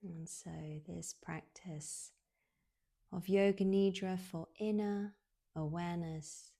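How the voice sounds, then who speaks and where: A woman guiding a relaxation meditation in a soothing, unhurried way, leaving long pauses, with soft, breathy phrase endings. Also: fairly low pitch, steady tone. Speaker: yoga nidra guide.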